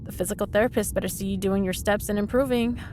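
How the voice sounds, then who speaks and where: A woman's voice: medium pitch, fairly even intonation, fast talker, over music, close to an everyday voice. A woman playing a cheeky, smug female villain in a comedic audio roleplay, with breezy, sarcastic teasing. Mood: sassy banter. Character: cheeky, smug woman supervillain, frequent hospital patient.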